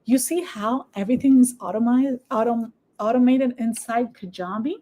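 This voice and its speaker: Spanish-accented woman coaching at a brisk pace. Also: fairly high pitch, mellow. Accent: Dominican Spanish. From Dominican Republic. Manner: brisk, persuasive, checks in with "okay?". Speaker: online business coach. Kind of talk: screen-share tutorial and sales pitch.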